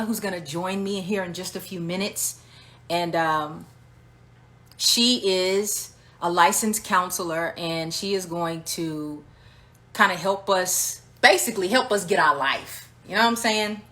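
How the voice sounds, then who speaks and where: A woman host opening a casual livestream in a relaxed, chatty way. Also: fairly low pitch, a little slow. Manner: relaxed, chatty, filler words.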